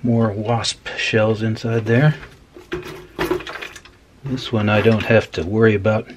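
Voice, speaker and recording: Low-voiced man narrating over background noise. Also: steady tone, takes it slowly. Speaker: host of an electronics repair video. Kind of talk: casual hands-on demonstration commentary. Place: indoor workbench.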